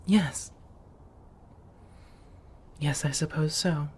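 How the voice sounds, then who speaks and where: A woman voicing a centuries-old, genteel and affectionate vampire housemate in a soft-spoken ASMR roleplay, with a husky, breathy voice and measured, old-fashioned phrasing. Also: low voice, heavily acted. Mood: reflective, genteel.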